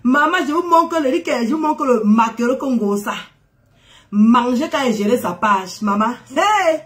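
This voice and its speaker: A woman's voice: on the high side, lively intonation, unhurried. A woman with a head cold, chatting casually on a live stream, greeting viewers, teasing and exclaiming with frequent laughs.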